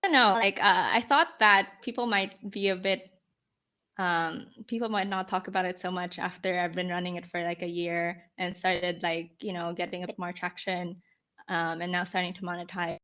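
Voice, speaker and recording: A woman's voice: on the low side, steady tone, moderate pace, pushed and bright. A woman of about twenty speaking reflectively and informally in an interview, often dropping in "like" and "you know". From Philippines. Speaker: podcast guest, startup founder.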